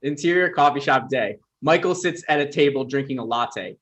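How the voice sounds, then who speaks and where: A man's voice: mid-range voice, normal speed, fairly bright tone. A man reading stage directions as the narrator of a scripted table read. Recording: dull, lo-fi sound.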